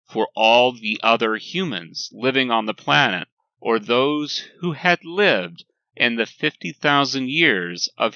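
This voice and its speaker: Male sermon reader, slow and emphatic, deliberate pauses, very crisp forceful tone, dry sound. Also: medium pitch, dry recording, muffled recording. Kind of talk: scripted lecture-like sermon reading.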